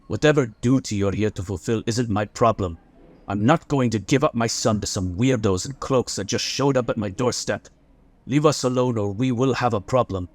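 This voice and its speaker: An actor playing a suspicious, protective father who is gruff and hostile toward strangers at his door. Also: mid-range voice, moderate pace, dry recording, close to an everyday voice. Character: defensive, protective working-class father. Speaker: character in audio drama.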